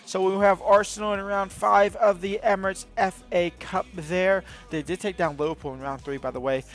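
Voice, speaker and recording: A man giving excitable live commentary over his own video game match, his voice going hoarse. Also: sits high, moderate pace, faint music behind. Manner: excitable, bursts into shouts at big moments. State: voice getting hoarse. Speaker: gaming video host.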